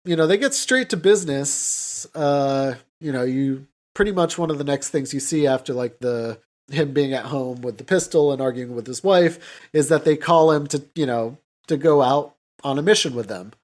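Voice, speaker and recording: A man's voice: medium pitch, moderate pace, hardly any pauses, a little mellow. A man hosting a podcast, recapping a film in a casual, rambling way with loose mimicked dialogue. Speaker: podcast host.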